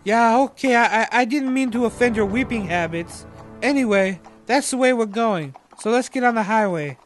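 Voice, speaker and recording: Man doing a high-pitched folksy old groundskeeper. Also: lively intonation, moderate pace, soft background music. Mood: skeptical. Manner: folksy, slangy. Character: jokey old male groundskeeper, a self-described big old fart. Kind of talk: comedic audio drama.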